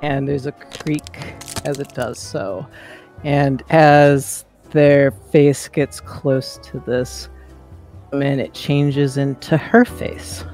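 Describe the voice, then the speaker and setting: A man playing a tabletop roleplaying game, improvising third-person storytelling in halting, deliberate phrases. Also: medium pitch, little pitch movement, a little mellow, soft background music.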